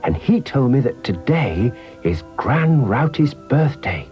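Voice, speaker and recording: A man's voice: medium pitch, big pitch swings, slow pace, lo-fi sound, soft background music, voice pushed up. A man voicing a kindly, busy mother in a children's story, confiding and a little hesitant.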